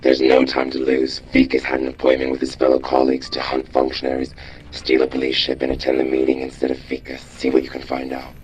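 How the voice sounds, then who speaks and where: A gruff robot chief in a game, with an extremely low voice. He speaks in a measured, near-monotone, commanding way, over faint ambient noise. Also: low-quality recording. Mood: grave, urgent. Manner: measured, terse, authoritative. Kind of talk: scripted game dialogue.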